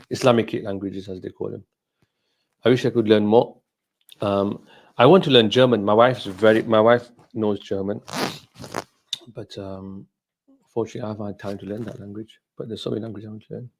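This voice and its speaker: A middle-aged man whose mother tongue is Gujarati, talking informally as a scholar answering questions, in a halting, reflective way that repeats phrases. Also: on the low side, a little mellow. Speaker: Islamic scholar hosting a question and answer session.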